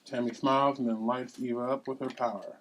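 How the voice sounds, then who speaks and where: A man's voice: mid-range voice, little pitch movement, a little fast, slight background noise, rough recording. A man narrating at a table read, reading scene headings and stage directions aloud.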